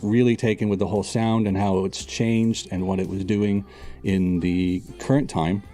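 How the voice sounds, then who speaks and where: A man being interviewed as a guest composer, speaking in a relaxed, reflective way with halting phrasing. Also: lowish voice. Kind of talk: interview answers, clipped and repeated in the edit. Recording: loud music under the voice.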